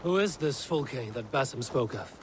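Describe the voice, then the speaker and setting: An actor playing a level-headed, wary Viking warrior in a video game, speaking in a measured, sober way. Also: male voice, mid-range voice, lively intonation, background noise, close to an everyday voice.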